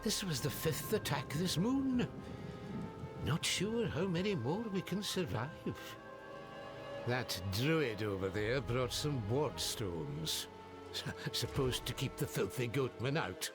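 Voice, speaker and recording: A man playing a gruff, blunt old villager who grumbles, in a fantasy video game. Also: medium pitch, sing-song, slow pace. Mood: weary, gruff. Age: older. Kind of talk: dramatic video game acting. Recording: music behind.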